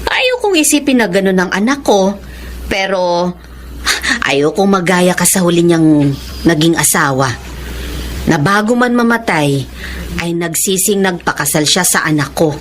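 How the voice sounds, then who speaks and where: A woman's voice: fairly low pitch, expressive, unhurried, pauses often, a little hiss or hum. An actress playing a candid, concerned elderly mother in a Filipino radio drama, speaking in a frank, motherly way.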